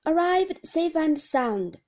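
Woman putting on a chirpy, bright-voiced nurse. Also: high-pitched, sing-song, normal speed, voice pushed up. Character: brisk, relentlessly cheerful nurse, adult woman.

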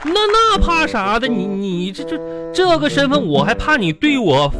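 A man's voice: very high-pitched, big pitch swings, moderate pace, over loud music. A man playing a needy, pushy office worker in a radio comedy sketch, with a stammering, pleading way of talking. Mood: eager, wheedling.

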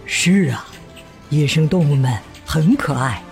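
An actor playing a gentle father who patiently explains things to his child in simple words, in a children's radio drama. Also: on the high side, faint music behind. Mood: patient, explaining. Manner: slow, simple explaining with soft sentence particles.

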